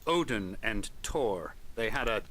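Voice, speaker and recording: An actor playing a smug, self-important psychiatrist in a video game cutscene, soothing and condescending in measured phrases. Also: male voice, fairly low pitch, animated, a bit bright. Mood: smooth, condescending. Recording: noisy game stream capture.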